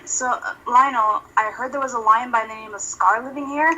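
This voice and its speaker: A woman's voice: on the high side, fairly even intonation, normal speed, bright, forceful tone, dull audio, a little hiss or hum. A woman voicing a cautious young male lion who is new at the zoo, mild and unsure.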